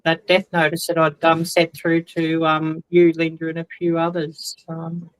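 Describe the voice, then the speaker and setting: A woman's voice: low voice, completely flat delivery, normal speed, dry recording, low-quality recording. A woman talking over a video call as a guest, hesitant and full of ums. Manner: hesitant, many ums, soft trailing. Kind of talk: casual video call chat.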